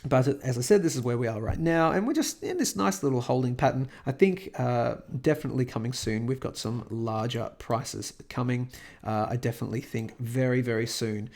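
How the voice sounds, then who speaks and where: Host, male, hoarse and croaky from a cold, rambling with lots of fillers, gravelly edge, mid-pitched. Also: fairly quick, darkish tone. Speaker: host of a crypto price analysis channel. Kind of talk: casual screen-recorded market commentary.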